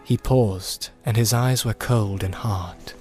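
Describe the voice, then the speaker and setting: A man's voice: fairly low pitch, slow, a little mellow. A man narrating a fantasy novel as a scripted audiobook. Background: music soundscape.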